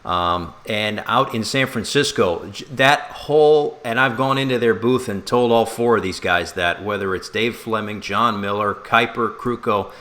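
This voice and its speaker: Casting: male sports broadcaster, low bright voice, casual and conversational co-host delivery with some halting restarts.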